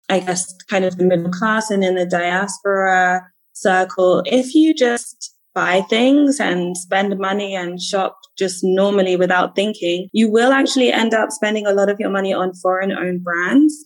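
Ghanaian British woman, podcast guest, earnest and lively, casual conversational delivery. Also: medium pitch. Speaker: podcast guest, healer and entrepreneur. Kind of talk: casual interview talk.

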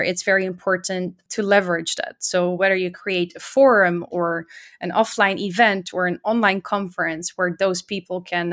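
A woman's voice: fairly low pitch, fairly bright tone. A woman who grew up in the Netherlands, guesting on a podcast and talking enthusiastically with frequent "uh" and "right?". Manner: enthusiastic, often adding "right?" and "uh". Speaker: podcast guest, community organizer. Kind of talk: conversational interview.

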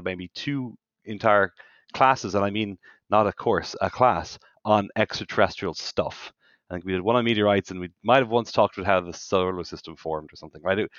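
A man's voice: fairly low pitch, a bit bright, dry recording. A man in his forties with an Irish accent, a professor chatting as a guest over a remote call, wry and joking about himself. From Ireland. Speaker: planetary science professor, guest. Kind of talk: casual interview chat. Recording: dull dry call audio.

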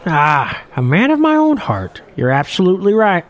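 A man's voice: high-pitched, wildly swooping pitch, normal speed, fairly bright tone, voice pushed up, exaggerated voice. A man putting on a jolly old fishing captain. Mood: chatty. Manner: chatty, boisterous, lilting.

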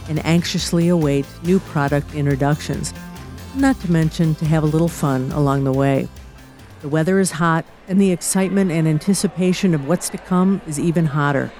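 A woman's voice: low-pitched, warm tone. A woman giving upbeat, scripted narration for an event video. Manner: upbeat promotional delivery.

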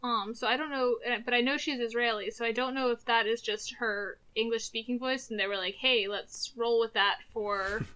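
A woman's voice: fairly high pitch, steady tone, moderate pace, hardly any pauses, pushed and bright, rough recording. A woman co-hosting a casual podcast chat about movies, rambling and filling her sentences with fillers. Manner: rambling, heavy use of filler words. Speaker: podcast co-host.

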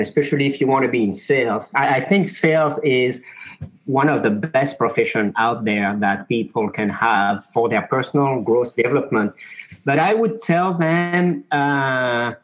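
A middle-aged man from Mauritius with a French accent, answering interview questions as a sales professor, pausing often with "uh". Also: medium pitch, a bit bright, poor audio. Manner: thoughtful, many "uh" pauses.

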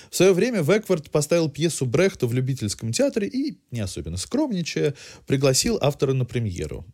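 A man presents scripted culture news as a podcast host. His pitch is mid-range and moves in lively swings, with articulate, literary phrasing throughout.

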